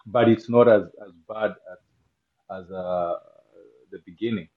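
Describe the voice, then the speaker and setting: Halting Kenyan man, low voice. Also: steady tone, phone-quality sound. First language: not English. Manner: halting, many restarts, laughs easily. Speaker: guest. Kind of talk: interview conversation.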